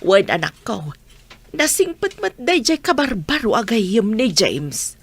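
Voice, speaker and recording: A woman's voice: a little high, sing-song, measured pace, husky, no room sound, full character voice. A woman acting as an earnest mother talking with her family in an audio drama. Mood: fond.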